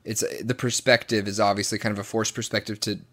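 A man's voice: fairly low pitch, level tone, fast talker, fairly bright tone. A man in his late twenties chatting casually as a tarot client, tentatively thinking aloud. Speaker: client receiving a tarot reading. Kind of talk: casual chat.

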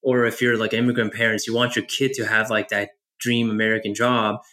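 A man's voice: fairly low pitch, little pitch movement, a little fast, pushed and bright. A man hosting a podcast interview, asking loose, rambling questions full of "like" and restarts. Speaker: podcast host. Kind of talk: casual interview chat.

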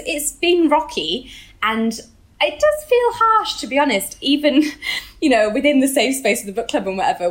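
A woman in her thirties talking candidly and emphatically about books as a podcast co-host, in a casual, chatty way. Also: a little high. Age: 30s. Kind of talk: casual book discussion.

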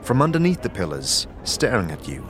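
A man narrating an audio drama in the second person, measured and descriptive, over a music bed. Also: lowish voice, huge pitch swings, background noise.

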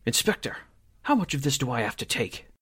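Guy doing a touchy old radio showbiz man, rough husky voice, pitch jumping around. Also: sing-song, a little fast, a bit bright. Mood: offended. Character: defensive, irritable male show producer. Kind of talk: 1940s radio drama acting.

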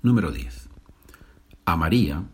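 A man from leads a listening exercise as a teacher. His intonation is highly animated, rising and falling widely. He speaks deliberately and didactically, setting out each practice sentence for learners. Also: breathy edge. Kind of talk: language lesson, reading numbered practice sentences.

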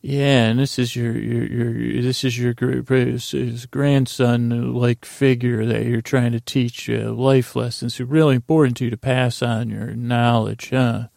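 Man as earnest city mediator, very polite, flattering, halting, creaky, podcast skit. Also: medium pitch, dry recording, clean studio sound.

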